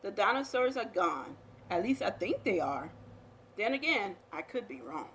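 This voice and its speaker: A woman reading a children's picture book aloud as a storyteller, with expressive, dramatic delivery. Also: mid-range voice, normal speed, slight background noise.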